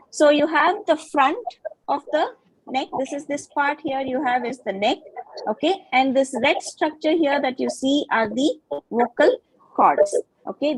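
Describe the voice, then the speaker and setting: A woman with a high, bright-pitched voice gives a lecture over a video call. She explains step by step, often pausing to ask whether the class follows. The sound is dry and close, with no room echo. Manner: patient, checks understanding with frequent "okay" and questions. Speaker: guest lecturer in phonetics.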